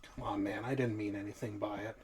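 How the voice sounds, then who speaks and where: A man's voice: lowish voice, fairly even intonation, fairly quick, darkish tone, a little hiss or hum, natural voice. An actor playing a skeptical, teasing man exploring an old building, with casual, reactive audio drama delivery. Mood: apologetic. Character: skeptical, teasing male urban explorer with recorder. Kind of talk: naturalistic audio drama dialogue.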